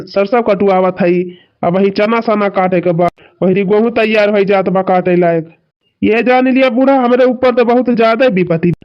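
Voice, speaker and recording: A man plays an insistent young village son-in-law in a cartoon comedy, his voice high and the sound dull. He complains plaintively in a rustic Awadhi accent. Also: close to an everyday voice. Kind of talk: rural cartoon comedy dialogue.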